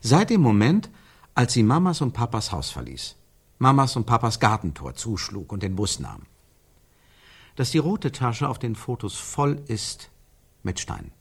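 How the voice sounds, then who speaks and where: Radio play: a probing, insistent man, mid-pitched and husky, circling his point with emphatic repeats. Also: very animated, exaggerated voice. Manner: emphatic, repetitive, probing, pausing for effect. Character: insistent, searching man theorising about an absent woman. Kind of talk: radio play dialogue, rhetorical repetition.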